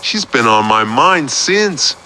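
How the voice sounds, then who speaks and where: A man's voice: medium pitch, big pitch swings, slow, sharp tone, exaggerated voice. An actor playing a lonely, self-pitying man who calls a radio show to confess his troubles in a rambling way. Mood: wistful. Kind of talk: radio play confessional phone-in. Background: music under the voice.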